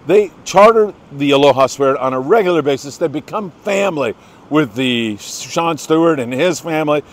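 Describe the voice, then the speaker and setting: An older American man hosting a casual fishing report, chatty, folksy and enthusiastic. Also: on the high side. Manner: chatty, enthusiastic, folksy, congratulating people by name. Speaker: host of a fishing report show.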